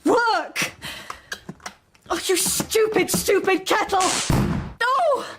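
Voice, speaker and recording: A frazzled British mother in a radio play, heard at a distance through heavy noise. Her voice is very high and strained out in slow, exasperated bursts, sharp and sarcastic. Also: animated, reverberant, exaggerated voice. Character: frazzled, short-tempered young mother. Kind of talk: radio drama acting.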